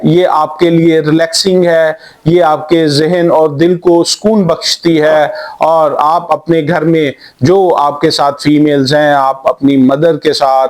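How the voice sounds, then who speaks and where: Male doctor hosting a health channel, voice pitched a little high, easygoing advice with thinking pauses. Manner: friendly advice, speaks straight to viewers; pauses with fillers while searching for words. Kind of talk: informal health talk to camera.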